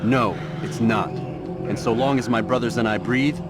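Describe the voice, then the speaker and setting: A man's voice: mid-range voice, a little slow, close to an everyday voice. A man playing a stern, defiant assassin in a video game, speaking bluntly and accusingly. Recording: music loud behind.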